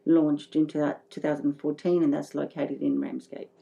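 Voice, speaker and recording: Low, creaky middle-aged woman. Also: little pitch movement, moderate pace, dark tone. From Greece, living in Australia. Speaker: interview guest, boutique shop owner. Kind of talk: casual interview conversation.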